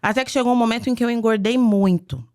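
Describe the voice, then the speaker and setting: A woman in her twenties from the interior of Minas Gerais, a podcast guest telling childhood stories in an animated, chatty way. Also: on the high side, fast. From interior of Minas Gerais, Brazil. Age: 20s. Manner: animated, chatty storytelling with laughs and "entendeu?" tags. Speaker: podcast guest, beauty communicator. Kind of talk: conversational interview, personal anecdotes.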